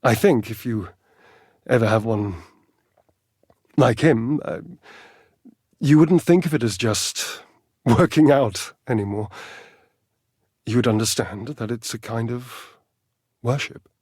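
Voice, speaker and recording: An actor playing a vain, athletic talking appliance in a dating game, pompous and petulant, with flamboyant, condescending, wordy delivery. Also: male voice, medium pitch, slow, long pauses, darkish tone, slightly rough, no room sound, studio quality. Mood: wistful, petulant. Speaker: video game character actor. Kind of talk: theatrical dating-game character acting.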